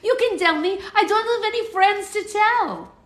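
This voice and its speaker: A woman voicing an eager, curious ten-year-old boy in a story, with an attempted German accent and an animated manner. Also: fairly high pitch, brisk, pitched above the performer's own voice. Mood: desperately curious. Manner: animated, giddy, wide-eyed. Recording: echoey room.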